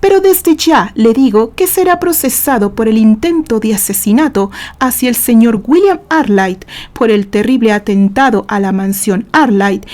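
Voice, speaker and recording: A woman's voice: a little high, warm tone. Woman voicing a stern male judge. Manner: formal, authoritative.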